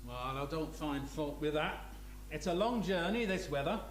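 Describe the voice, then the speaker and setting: A man playing a gruff, blustering, cunning provincial schoolmaster in a dramatic reading of a Victorian novel. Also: on the high side, animated, normal speed, lightly acted. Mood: blunt, smug. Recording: roomy live hall, hum and noise.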